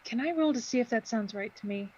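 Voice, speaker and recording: A woman's voice: a little high, fast talker, darkish tone, highs cut off, a little hiss or hum. A woman playing a tabletop roleplaying game, improvising casual table talk partly in character.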